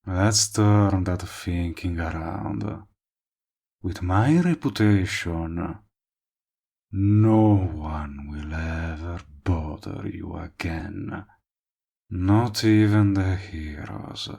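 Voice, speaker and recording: Man acting a deep, gravelly, smug lovestruck villain. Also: warm tone, full character voice. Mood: smug, teasing. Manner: smooth-talking, sardonic, drawn-out emphatic phrasing. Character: smug lovestruck villain, possessive, persistent, wryly comic man. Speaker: voice actor. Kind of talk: romantic comedy audio roleplay addressed to listener.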